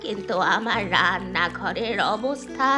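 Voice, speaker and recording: A woman's voice: mid-range voice, unhurried, over music, close to an everyday voice. Woman voicing a plaintive poor old village granny. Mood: worried, weary. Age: older. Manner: plaintive, lamenting. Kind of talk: Bengali cartoon fairy-tale dubbing.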